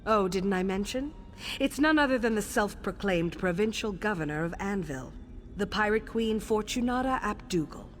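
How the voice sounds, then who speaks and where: A woman voicing a composed, sardonic assassin guild superior in a video game, speaking in a measured, dryly wry, commanding way. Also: mid-range voice, expressive, slight background noise, close to an everyday voice. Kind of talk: scripted video game quest dialogue.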